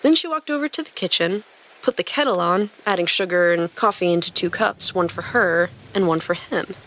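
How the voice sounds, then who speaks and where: A woman hosting a podcast and narrating stories in a clear scripted reading style. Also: fairly low pitch, normal speed, a bit bright, a little hiss or hum, rough recording. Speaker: podcast host and story reader.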